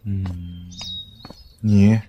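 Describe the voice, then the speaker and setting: A man playing a calm, indulgent, gently teasing rescuer in a Chinese audio drama, in an understated manner. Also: low voice, extremely slow, dull audio, faint music behind.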